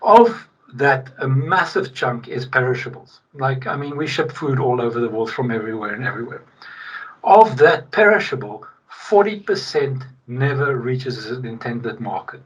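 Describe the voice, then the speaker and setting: A middle-aged man from South Africa, a guest in a casual podcast interview, talking in an animated, emphatic way with frequent restarts. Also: medium pitch, moderate pace, husky. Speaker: guest, logistics executive. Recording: distant, dull remote-call sound.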